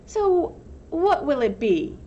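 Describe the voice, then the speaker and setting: A woman reading a storybook voices a tall female camp warden, cold and commanding, with slow, menacingly polite speech. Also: high voice, very animated, dark tone, dull audio, slight background noise, voice pushed up, exaggerated voice. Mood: stern threat.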